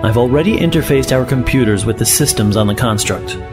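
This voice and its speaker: A man's voice: medium pitch, fairly quick, over music, lightly acted. A man playing an impatient, commanding professor in a science fiction audio drama. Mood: eager, commanding. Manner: commanding, brusque.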